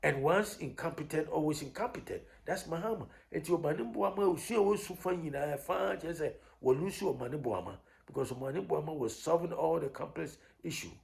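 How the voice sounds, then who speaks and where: A man's voice: on the high side, lively intonation, vocal fry, a little mellow. A man with a Ghanaian accent giving an unscripted, combative opinion rant, repeating himself and often restarting his phrases. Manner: combative, repetitive, halting with restarts. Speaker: online commentator.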